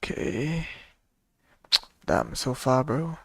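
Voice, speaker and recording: A man's voice: medium pitch, animated, drawn-out words, vocal fry, breathy edge, dry recording. A young man giving casual, slangy gameplay commentary in a laid-back way. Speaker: game streamer.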